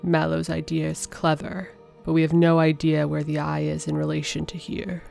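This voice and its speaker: A woman's voice: on the low side, creaky. A woman reading as earnest alien scientists. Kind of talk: reading in-game alien text aloud.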